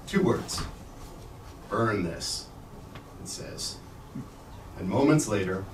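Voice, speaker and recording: A man reading literary prose aloud at a staged event in a measured narrating style. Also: on the low side. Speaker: reader at a staged book reading. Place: bookstore event space. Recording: roomy, distant mic, clear background noise.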